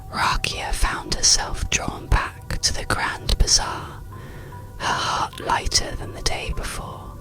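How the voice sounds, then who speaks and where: A storyteller narrates a bedtime tale in a soft ASMR whisper. The delivery is slow and soothing, hushed from phrase to phrase, with a crackly, breathy grain. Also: extremely low pitch.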